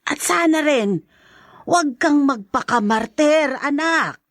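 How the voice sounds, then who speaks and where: Expressive, worried older Filipino mother. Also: high voice, slow pace, no echo, clean studio sound, heavily acted. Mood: resigned, concerned. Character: worried, sighing older mother, protective and resigned. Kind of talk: Filipino radio drama acting.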